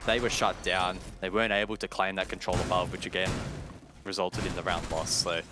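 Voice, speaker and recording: A man's voice: deep voice, flat delivery, fairly quick. A man giving detailed, explanatory live commentary on an esports match. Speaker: esports caster. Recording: game music and gunfire behind.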